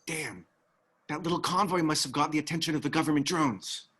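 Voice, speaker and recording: An actor in a screenplay table read playing a loyal, steady ex-military pilot with an understated, wry, casual manner. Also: male voice, fairly high pitch, brisk, natural voice. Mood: resigned.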